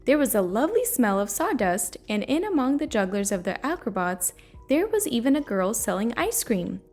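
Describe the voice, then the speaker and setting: A woman reading a children's story aloud in an expressive, storytelling manner. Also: a little high, moderate pace. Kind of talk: children's read-aloud narration. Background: soft music.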